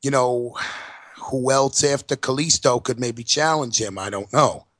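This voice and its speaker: A man giving blunt, emphatic takes on a podcast, swearing freely as he makes his case. His voice has an ordinary male pitch and is recorded dry and close. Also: a little slow, a bit bright, no room sound. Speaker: podcast co-host. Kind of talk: casual wrestling chat.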